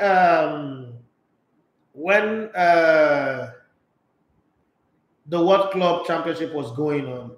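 A middle-aged Nigerian man hosting a sports radio talk show, animated and emphatic, mixing pidgin into his English. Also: on the high side. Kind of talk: live sports talk show, reading viewer comments. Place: small radio studio. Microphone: studio microphone with headphones. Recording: echoey studio room.

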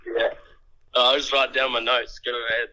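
An Australian man speaks over a phone call with a very bright, sharp-edged voice of middle pitch. He keeps it casual and laid-back, with a sceptical way of answering. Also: level tone, moderate pace. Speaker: prank call recipient.

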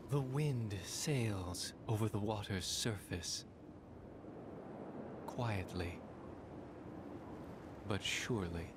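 A man voicing a theatrical, poetic video game villain, reciting verse solemnly with grandiose, measured, portentous delivery. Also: lowish voice, noisy background.

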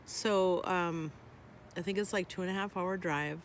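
An older woman chatting in an unhurried way to her phone camera, first inside a minivan and then outdoors at a campsite, with many ums. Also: lowish voice, steady tone, slight background noise. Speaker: vlogger. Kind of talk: casual chat. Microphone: phone held at arm's length.

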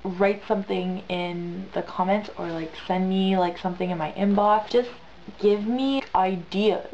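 A woman's voice: medium pitch, steady tone, a little slow, a little mellow. A young woman chats casually to camera in a rambling, slangy way, her nose congested from being sick. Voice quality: stuffed-up, congested. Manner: rambling, emphatic repetition, slangy. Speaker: vlogger. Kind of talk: casual, chatty vlog to camera. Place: small bedroom, indoors on a bed. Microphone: built-in camera mic at arm's length. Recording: dull lo-fi camera sound, light noise.

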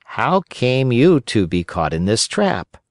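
A man's voice: mid-range voice, big pitch swings, slow, dry recording, studio quality. Man as a tiny moralizing glowworm lady. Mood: gently reproving.

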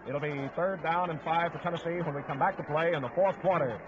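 A man's voice: a little high, brisk. A man calling live play-by-play football commentary on a 1950s radio broadcast. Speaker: play-by-play sports announcer. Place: stadium broadcast position. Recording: noisy, muffled old 1950s radio broadcast. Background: outdoor stadium crowd noise.

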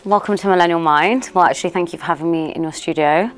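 A woman hosting a podcast, asking questions in an eager, grateful way in a bright studio. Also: fairly low pitch, quick pace, soft background music. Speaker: podcast host. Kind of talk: interview questions. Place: bright studio office with plants.

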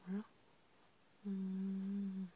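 A woman whispering softly in a halting, conversational way, as in an ASMR counseling roleplay. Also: mid-range voice, completely flat delivery, slightly rough, muffled recording. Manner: hushed, slow, halting, conversational. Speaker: ASMR creator playing a counselor. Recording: noticeable background hiss.

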